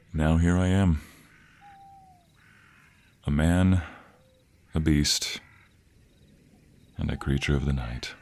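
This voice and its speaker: A man voicing a devoted, protective vampire boyfriend in an intimate romantic audio roleplay, speaking earnestly and hesitantly, often in a breathy hush. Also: extremely low pitch, full character voice. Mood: intense.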